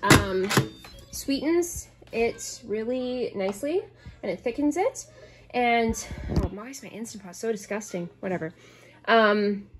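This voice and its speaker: A woman in her thirties giving casual, rambling, offhand narration as she cooks in a home kitchen, recorded on a phone. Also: on the high side. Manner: rambling, offhand self-commentary. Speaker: home cooking vlogger. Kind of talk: casual chatty narration while cooking. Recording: clear background noise, kitchen clicks.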